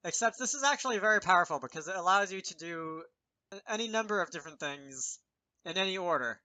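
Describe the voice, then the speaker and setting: A man's voice: high-pitched, animated, quick pace, bright, forceful tone, no echo, low-quality recording. A man presenting an informal programming tutorial, thinking aloud with self-deprecating asides as he explains. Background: keyboard typing and mouse clicks.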